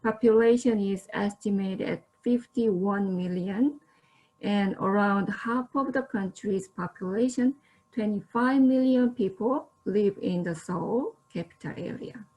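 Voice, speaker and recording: A woman's voice: mid-range voice, a little slow, warm tone, poor audio. A young woman from South Korea giving a slideshow lecture, speaking carefully and steadily with some fillers. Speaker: student presenter.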